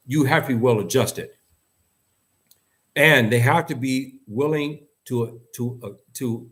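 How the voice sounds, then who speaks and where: A man's voice: medium pitch, a bit bright. A man with an American accent giving opinionated, unscripted talk in an emphatic, insistent manner, repeating phrases for stress. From United States. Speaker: host and commentator.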